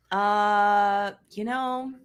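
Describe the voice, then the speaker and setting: Woman, average pitch, sardonic, blunt, casual sports chat, sweary. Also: smooth. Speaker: podcast panelist.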